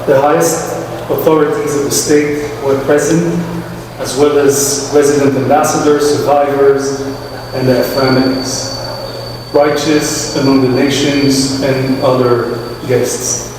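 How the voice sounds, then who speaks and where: A man giving a formal commemorative speech, measured and solemn as he reads out a long list of names. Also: mid-range voice, flat delivery, noisy background. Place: large reverberant museum hall. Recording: echoey hall, voice from far off.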